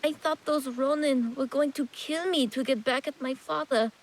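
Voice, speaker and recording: A polite young nobleman's son speaks with a bright, high voice. Eager and earnest in every phrase. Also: normal speed. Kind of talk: video game cutscene acting.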